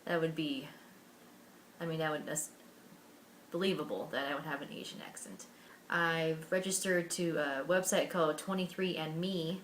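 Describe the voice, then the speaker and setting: A woman's voice: low-pitched, steady tone, normal speed, a little hiss or hum. A young American woman from Washington State telling her own life story to camera in an earnest, candid way, with many fillers and restarts. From Washington State, USA. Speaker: vlogger.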